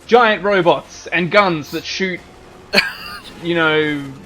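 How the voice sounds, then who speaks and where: A man's voice: fairly high pitch, extremely slow, pushed and bright, faint music behind, rough recording. A man giving casual let's-play commentary, joking and rambling as he riffs on the game. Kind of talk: casual gaming banter.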